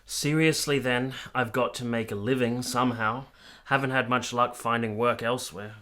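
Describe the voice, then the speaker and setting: A man's voice: medium pitch, steady tone, moderate pace, sharp tone, natural voice. An actor playing a cynical, bitter soldier captain in his late twenties in a period radio drama, dry and argumentative. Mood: wry, resigned. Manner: dry, argumentative, matter-of-fact.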